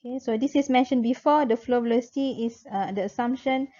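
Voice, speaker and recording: A woman lecturer with a Malaysian accent and a fairly high voice explains material informally, step by step. She speaks haltingly and circles back over key points. The recording is somewhat dull and lo-fi. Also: dark tone. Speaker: university lecturer.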